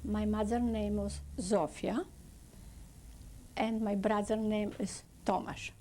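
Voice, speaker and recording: A woman in her seventies from Poland with a Polish accent, giving halting, slow oral history testimony in non-native English. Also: medium pitch, fairly even intonation, mellow, a little hiss or hum. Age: 70s. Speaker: interviewee.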